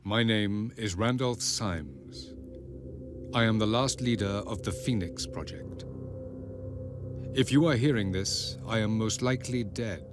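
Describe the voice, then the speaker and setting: Actor as grave, low-voiced project leader, music behind. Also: male voice, a little slow, natural voice. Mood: grave, resigned. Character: weary male leader of a doomed secret project, recorded message. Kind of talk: scripted video game cutscene message. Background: loud music.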